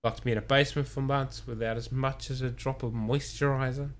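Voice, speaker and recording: A flamboyant middle-aged college dean scolds in a haughty, theatrical manner, as a podcaster puts him on. His voice sits at an ordinary middle pitch. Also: fairly even intonation, a little fast, close to an everyday voice. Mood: indignant.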